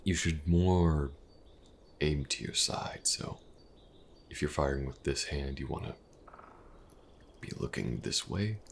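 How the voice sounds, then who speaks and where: A very deep-voiced elf mercenary, acted by a man, full of gravelly creak. He is a patient, gently awkward companion in a fantasy audio roleplay, speaking softly with halting pauses. Faint outdoor ambience sits behind him. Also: a little hiss or hum, exaggerated voice. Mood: patient, instructive. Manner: hesitant, soft-spoken, casual, with halting pauses.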